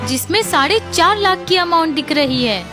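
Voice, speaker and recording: A woman's voice: very high-pitched, animated, normal speed, music in the background. A woman narrating a scripted children's story as its storyteller.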